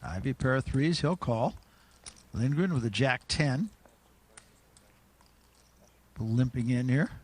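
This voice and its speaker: A man hosting and calling the action in a televised poker broadcast, with a brisk, measured play-by-play delivery. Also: mid-range voice, animated, a little mellow, husky. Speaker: play-by-play commentator.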